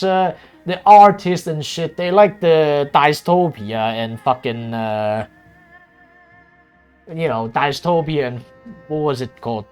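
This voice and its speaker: A man whose first language is Cantonese, talking loosely while he streams a game. His voice sits a little high and swings up and down a lot, and he stops and restarts often, with swearing thrown in. Faint music plays underneath. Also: clean tone. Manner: rambling, halting, many restarts and swearing. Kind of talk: casual chat while streaming a strategy game.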